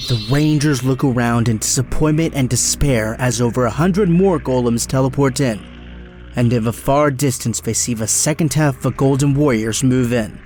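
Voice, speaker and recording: A man's voice: medium pitch, moderate pace, darkish tone, faint music behind. A man giving dramatic scripted narration as the narrator of an action audio drama.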